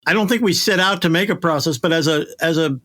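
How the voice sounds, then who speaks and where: Male producer-host, bright forceful voice a bit high, close dry sound, loose anecdotal shop talk. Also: fast, no room sound. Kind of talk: casual shop-talk conversation.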